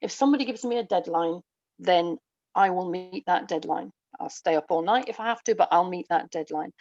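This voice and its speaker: An English woman talks as an interview guest, reflective and articulate. Her voice has a marked creak. The sound is dry and somewhat dull, as on a lo-fi recording. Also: lowish voice, brisk, a little mellow, dry recording. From England. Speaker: guest, writer and audio dramatist. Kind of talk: casual interview conversation.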